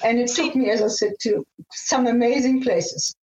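A woman's voice: on the high side, fairly even intonation, moderate pace, darkish tone, reverberant, muffled recording. An older woman from Namibia telling her life story as an interview guest, enthusiastic and often restarting her phrases. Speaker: guest, former airline pilot.

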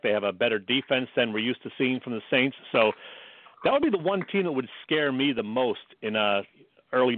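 A man's voice: medium pitch, no room sound. A man co-hosting a sports radio show, giving his opinions in a casual, matter-of-fact way. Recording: dry, thin phone-like sound.

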